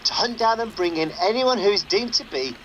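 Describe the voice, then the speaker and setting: A man's voice: high voice, lively intonation, brisk, a bit bright, muffled recording, a little hiss or hum. A man playing a chatty, mock-formal underground radio presenter in a video game. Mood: wry. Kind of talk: radio broadcast acting in a game.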